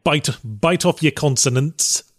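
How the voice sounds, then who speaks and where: Brief: man, deep voice, dry wry co-host, close and dead-sounding mic. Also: unhurried, dry recording. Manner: dry, self-deprecating humor. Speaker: podcast co-host. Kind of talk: casual chat.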